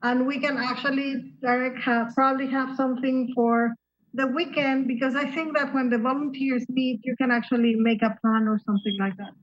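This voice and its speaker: Woman, high voice, casual meeting chair, hesitant, muffled call audio. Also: fairly even intonation, brisk. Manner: informal, hesitant, many restarts.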